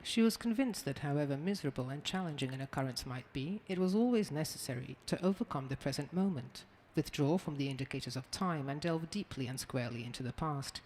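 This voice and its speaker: A man narrating in a measured, formal reading style. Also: on the high side, expressive, a little mellow, slight background noise, poor audio. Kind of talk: scripted art-film narration.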